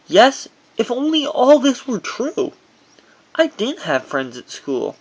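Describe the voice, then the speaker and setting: A man reading his own first-person memoir as audiobook narration, in a halting delivery that stumbles over words. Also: extremely high pitch, wildly swooping pitch, husky, poor audio.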